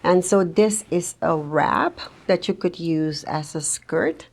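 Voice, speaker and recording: Slow, gravelly Surinamese woman guest. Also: lowish voice, darkish tone. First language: Dutch. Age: middle-aged. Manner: slow, reflective, thoughtful with frequent pauses. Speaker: guest social worker. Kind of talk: podcast interview.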